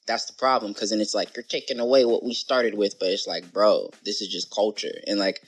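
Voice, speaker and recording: A man as a podcast guest talking in casual conversation, animated and rambling, with lots of "like" and "you know". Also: fairly low pitch, a little fast. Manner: animated, rambling, says "like" a lot.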